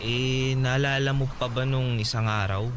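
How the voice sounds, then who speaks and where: A man's voice: mid-range voice, steady tone, normal speed, background noise, natural voice. An actor playing an earnest, smitten young man in a radio drama. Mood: hesitant, earnest. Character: smitten young fairground suitor, earnest and friendly. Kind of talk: Filipino radio drama acting.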